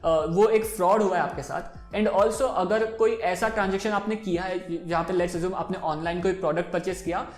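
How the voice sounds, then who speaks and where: A man's voice: high voice, steady tone, normal speed, few pauses. A man in his twenties with an Indian accent, explaining things informally and directly to viewers as a finance show host, switching between two languages. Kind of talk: explainer to camera, mixing Hindi and English. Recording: roomy echo, faint music behind.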